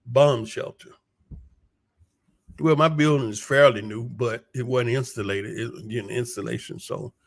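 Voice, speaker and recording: Slow-talking, hoarse American man. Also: medium pitch. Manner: halting, unhurried, folksy storytelling. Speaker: vlogger giving a personal update. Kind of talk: casual monologue to viewers. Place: small room at home, a bomb shelter used as studio.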